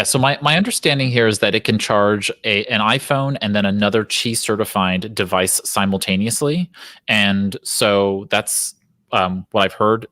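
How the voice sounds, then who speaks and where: A man co-hosting a tech news podcast, with a big booming voice and lots of "uh" fillers in his casual chat. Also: sharp tone, dry recording. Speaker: podcast co-host.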